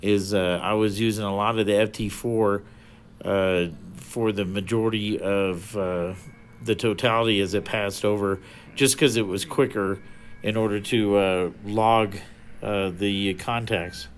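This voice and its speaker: A man giving a casual, unscripted, matter-of-fact explanation as a hobby radio vlogger, speaking haltingly. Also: on the low side, fairly even intonation, a little hiss or hum.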